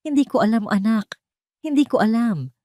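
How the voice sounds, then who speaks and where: A woman's voice: a little high, lively intonation, normal speed, warm tone, no room sound, clean studio sound, voice pushed up, lightly acted. A woman voicing a distressed, protective mother in short, emphatic sentences. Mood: anguished. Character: distressed, protective young mother, wounded and defiant.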